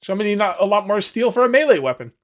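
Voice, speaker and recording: A man with a hoarse, fading voice, casually commenting on his survival game as he plays and rambling to his viewers. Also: sits high, very animated, very fast, a bit bright. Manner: rambling, self-correcting, talks to viewers. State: losing his voice. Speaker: gaming video host. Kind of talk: casual let's-play commentary.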